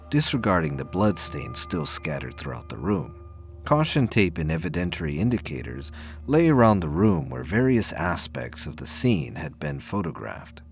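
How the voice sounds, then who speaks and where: A man narrating a science fiction audio drama in a solemn, measured, dramatic storytelling style. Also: rumbling low.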